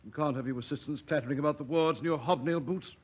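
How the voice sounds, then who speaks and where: An actor playing a dismissive, superior senior hospital doctor, clipped and condescending, in 1940s radio drama style. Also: male voice, fairly high pitch, fast talker, lightly acted. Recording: muffled old radio recording.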